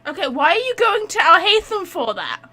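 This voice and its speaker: A woman's voice: very high-pitched, big pitch swings, brisk, sharp tone. A woman streaming a game live, reacting casually in an informal, giggly, chatty way.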